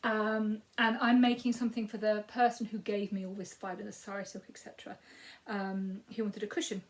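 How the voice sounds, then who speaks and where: A woman in her fifties with a Yorkshire accent and a croaky voice, giving a rambling, casual crafting update with frequent ums. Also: mid-range voice, little pitch movement, moderate pace. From Yorkshire, England. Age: 50s. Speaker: crafting podcast host.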